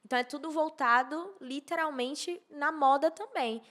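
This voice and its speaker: A woman's voice: sits high, normal speed, fairly bright tone. A woman explaining things conversationally as a podcast guest, emphatically and repeating key phrases. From Maceió, northeastern Brazil. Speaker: podcast guest, architecture and social media professional.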